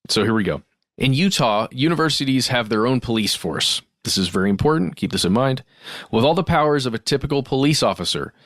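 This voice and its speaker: A man's voice: medium pitch, a bit bright, dry recording. A man with a mid-range voice speaks as a podcast co-host in a dry studio sound. He reads correspondence aloud conversationally, stepping out to explain and recap as he goes. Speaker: podcast co-host reading listener mail. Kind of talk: conversational podcast, reading emails aloud with asides.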